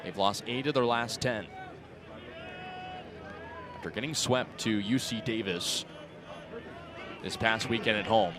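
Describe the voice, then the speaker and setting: A man in his twenties calling baseball play-by-play for radio in crisp, clipped phrases that lift on the action. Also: on the low side, unhurried, long pauses, background noise. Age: 20s. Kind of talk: live radio sports commentary. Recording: outdoor ballpark crowd behind voice. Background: ballpark crowd.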